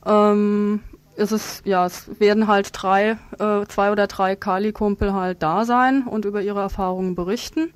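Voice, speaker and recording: Woman activist talking in a radio interview, lots of ums, pretty flat pitch, dry close sound. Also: smooth, no room sound. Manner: hesitant, halting delivery with frequent ums. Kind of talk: radio interview, spontaneous political explanation.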